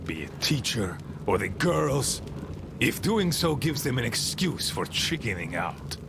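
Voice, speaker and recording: An actor playing a bitter, commanding, eccentric old boatman in a video game, with a gravelly voice and a theatrical, grumbling way of rambling. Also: medium pitch, very animated, measured pace, background noise. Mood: scornful. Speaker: game character, leader of a small river crew. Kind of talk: dramatic video game monologue.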